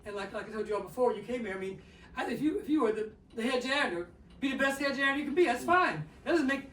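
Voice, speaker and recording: An older man talks fast in a bright, forceful voice, stumbling over repeated words and acting out the people he quotes. He laughs often as he tells the story. He is picked up from a distance in an echoey room with a faint hum behind him. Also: very high-pitched, expressive. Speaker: father in a family circle. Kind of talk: storytelling in a community circle.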